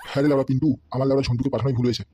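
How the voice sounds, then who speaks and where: Man voicing a blustering, rapid-fire cartoon boss. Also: mid-range voice, level tone, dark tone, a little hiss or hum, natural voice. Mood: grumbling, irate. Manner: blustering, threatening, constantly cursing. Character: bullying, hot-tempered male local politician boss, a father. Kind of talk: comedy cartoon dialogue.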